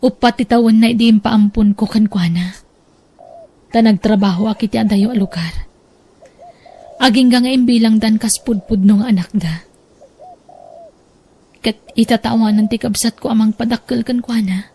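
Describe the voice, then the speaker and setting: A woman's voice: a little high, steady tone, normal speed, pauses often, mellow, husky. A woman with an Ilocano accent playing a sorrowful, apologetic mother in a radio drama, emotional and pleading. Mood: confessing. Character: sorrowful, apologetic mother confessing about her child.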